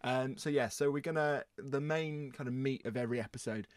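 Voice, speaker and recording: A man's voice: medium pitch, a little fast, no echo. A man co-hosting a podcast in casual, playful banter with mock-indignant teasing. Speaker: podcast host.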